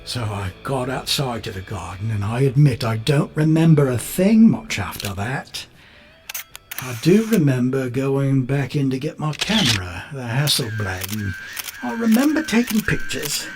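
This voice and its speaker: An actor playing a bitter, unravelling British photographer in an audio drama monologue, rambling and coarse, darkly matter-of-fact. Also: male voice, medium pitch, very animated, unhurried. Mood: haunted, halting. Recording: music under the voice.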